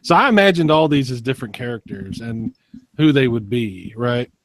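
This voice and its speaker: A man's voice: medium pitch, lively intonation, moderate pace, no echo. A man on a podcast panel, talking casually and explaining his ideas. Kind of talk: animated casual chat.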